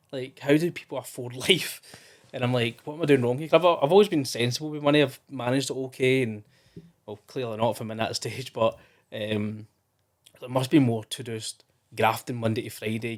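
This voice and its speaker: A Scottish man in his thirties, a podcast guest in casual, rambling conversation with many restarts. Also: mid-range voice. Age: 30s. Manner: chatty and rambling, with frequent restarts and fillers. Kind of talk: casual interview chat.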